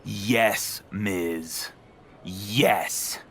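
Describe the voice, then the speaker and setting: An actor playing a calmly defiant male wrestling champion in a game cutscene, speaking in a smug, deliberate way. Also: on the low side, breathy edge, exaggerated voice. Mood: smug taunting. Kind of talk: scripted wrestling drama cutscene.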